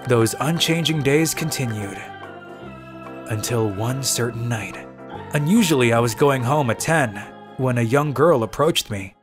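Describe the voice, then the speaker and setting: A man's voice: medium pitch, lively intonation, measured pace, music in the background, natural voice. A man playing a weary, cynical young office worker who grumbles and narrates his own manga story in a dry, exasperated way. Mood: narrating. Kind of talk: dubbed manga story narration in first person.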